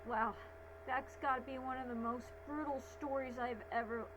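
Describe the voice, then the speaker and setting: A woman hosting a reaction video, commenting live in halting fragments and repeating words. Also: high-pitched, steady tone. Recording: noisy, humming home recording. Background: loud hum and played audio.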